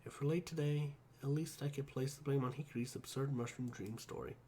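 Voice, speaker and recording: A man's voice: medium pitch, steady tone, brisk, dark tone, slight background noise. A man reading a visual novel aloud in a playful way, dropping joking asides between lines.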